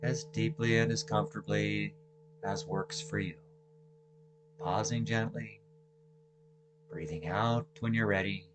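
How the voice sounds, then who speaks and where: A man leading a guided meditation as instructor, speaking gently in short, measured phrases with long pauses between them. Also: on the low side, steady tone, background noise. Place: online video call session.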